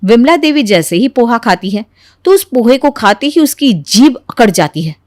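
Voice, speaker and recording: A woman's voice: fairly high pitch, very animated, normal speed, a little mellow, no room sound, studio quality. A woman reading scripted narration for a children's moral story.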